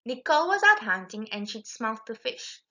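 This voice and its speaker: Lively, bright-voiced woman reading a storybook. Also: fairly high pitch, moderate pace, muffled recording. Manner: animated, emphatic. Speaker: storyteller reading aloud a children's picture book. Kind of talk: expressive rhyming storybook narration.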